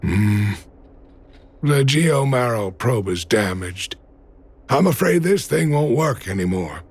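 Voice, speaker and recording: Loving miner dad for a video game: creaky voice, animated pitch, gentle reassurance. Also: male voice, slow, heavily acted. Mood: concerned. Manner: tender, reassuring. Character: gentle, loving working-class miner father.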